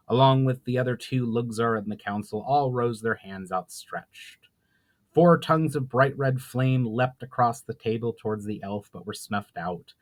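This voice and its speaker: A man reads fantasy fiction aloud. His voice has a medium pitch, and the delivery is dramatic storybook narration, like an author presenting his own book.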